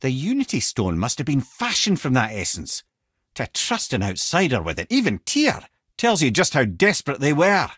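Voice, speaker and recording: A man's voice: medium pitch, wildly swooping pitch, normal speed, a bit bright, husky, dry recording, clean studio sound, exaggerated voice. A male actor voicing a witty, wise, talkative older Scottish companion in a video game, with a wry, eloquent storytelling manner. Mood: solemn storytelling. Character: witty, garrulous, wise severed-head companion, older man.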